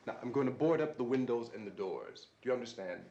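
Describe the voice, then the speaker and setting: A man's voice: mid-range voice, expressive, fast, warm tone, lo-fi sound, close to an everyday voice. An actor playing a resolute, commanding man besieged in a farmhouse in a 1960s horror film. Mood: insistent, reassuring. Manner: commanding, insistent. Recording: echoey, noisy 1960s film soundtrack.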